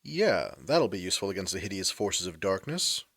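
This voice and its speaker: A man's voice: low-pitched, big pitch swings, moderate pace, fairly bright tone, husky, dry recording, full character voice. A man playing a snide vampire sidekick in a comedic audio drama, with a dry, cutting, sarcastic delivery. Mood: scornful. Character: snide, sardonic male vampire monster sidekick.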